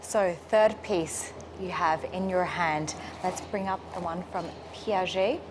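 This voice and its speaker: A woman's voice: fairly low pitch, slow, noisy background. A woman hosting a morning show, asking friendly questions that lead the guest from one piece to the next. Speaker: show host. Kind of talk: interviewing on a live show.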